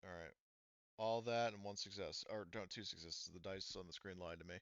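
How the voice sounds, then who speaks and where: A man's voice: sits low, fairly quick, no room sound, lo-fi sound. A man casually narrating a tabletop game as game master, thinking aloud through the rules in an informal way.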